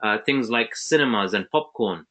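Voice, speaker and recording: A man's voice: lowish voice, animated, moderate pace, fairly bright tone. A man from Zambia hosting an online webinar, talking casually with many restarts and fillers. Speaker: webinar host.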